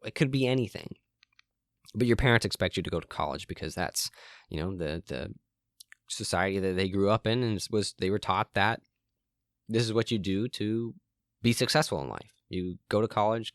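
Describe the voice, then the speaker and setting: Low-voiced young man rambling solo. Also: normal speed, no room sound, studio quality. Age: young adult. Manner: rambling, frequent ums and restarts. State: voice cracking, slightly hoarse. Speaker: podcast host. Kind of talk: solo podcast monologue. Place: his room at home.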